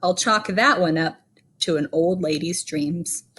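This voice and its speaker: A woman voicing a spirited, encouraging elderly great-great-aunt in an expressive storybook read-aloud. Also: fairly low pitch, unhurried, background noise, voice pushed down, lightly acted. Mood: wistful.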